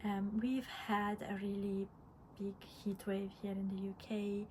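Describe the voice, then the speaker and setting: Female vlog host, Italian accent, average pitch, reflective and conversational with repeated words; some background noise. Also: monotone, a little slow, darkish tone. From Italy. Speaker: podcast host, crafter. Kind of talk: casual vlog chat. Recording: clear background room noise.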